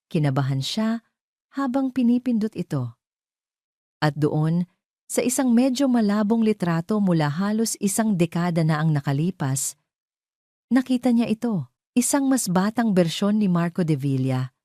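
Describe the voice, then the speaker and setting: A woman's voice: sits low, warm tone, no echo, clean studio sound. A woman storyteller giving a dramatic scripted narration of a romance audio drama.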